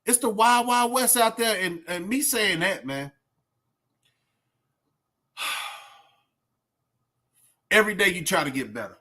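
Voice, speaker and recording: A man's voice: high voice, animated, takes it slowly, pauses often, sharp tone. A man with a Southern US accent giving casual reaction commentary in a colloquial, emphatic way, full of rhetorical questions. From southern United States. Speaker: YouTube reaction host.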